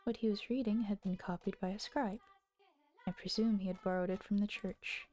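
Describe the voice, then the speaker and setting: A young woman with a slightly husky voice narrating a story and breaking off into giggly chatter. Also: mid-range voice, steady tone, normal speed, darkish tone. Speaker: streamer reading a visual novel aloud. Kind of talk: scripted narration with casual asides.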